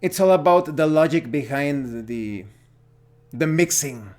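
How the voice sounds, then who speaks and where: Halting man, slightly high voice. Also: expressive, a little mellow. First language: Spanish. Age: 40s. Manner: halting, searching for words. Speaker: host of a tabletop gaming channel. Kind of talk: unscripted opinion monologue to viewers.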